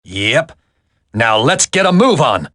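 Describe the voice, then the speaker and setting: An actor playing a stressed, brainy computer geek on a military team in a video game. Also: male voice, mid-range voice, big pitch swings, slow, fairly bright tone, no room sound, clean studio sound. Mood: businesslike. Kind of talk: dramatic video game voice acting.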